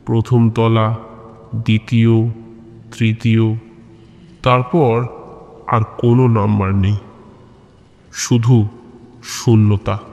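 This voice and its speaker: A man narrating a scripted horror story with suspense and dramatic pauses between short phrases. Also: mid-range voice. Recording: long reverb on the voice.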